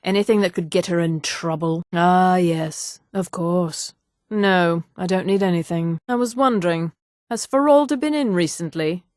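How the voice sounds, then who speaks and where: An actress voicing a vain, scheming female high elf for a fantasy video game, with a haughty, poised, condescending manner. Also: normal speed, a little mellow, dry recording, clean studio sound, natural voice. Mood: cool, inquiring. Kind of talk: scripted video game character dialogue.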